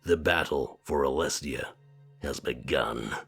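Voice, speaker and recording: A ruthless, unbending commander in a visual novel. His voice sits extremely low and husky, each line cold and measured. Faint music runs beneath the dry studio read. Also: fairly bright tone, heavily acted. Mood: cold, stern.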